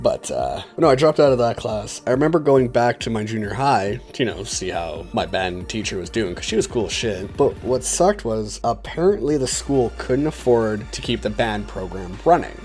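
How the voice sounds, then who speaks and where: A man's voice: fairly low pitch, darkish tone. A young man telling personal stories in a casual, rambling, laid-back way, swearing freely. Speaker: storyteller on his own video channel. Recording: voice over background music. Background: music.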